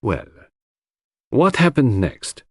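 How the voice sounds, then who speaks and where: A man's voice: medium pitch, sing-song, slow, husky, no room sound, clean studio sound, pitched above the performer's own voice, exaggerated voice. A man voicing a courteous, businesslike detective who questions formally and persuasively in an audiobook mystery.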